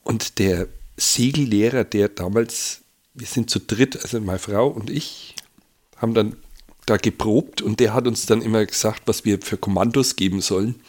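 A man with a Franconian accent tells stories at an easy pace on a beer podcast. His voice sits a little low, and his replies are short and relaxed. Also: moderate pace. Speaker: podcast co-host. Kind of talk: casual chat.

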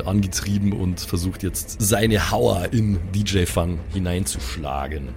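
A man improvising the narration of a tabletop role-playing game, descriptive and pausing as he invents. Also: lowish voice, darkish tone. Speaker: game master.

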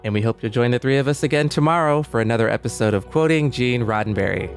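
A man's voice: mid-range voice, quick pace, faint music behind. A man co-hosting a podcast in a casual, reflective chat, talking candidly and conversationally. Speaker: podcast co-host.

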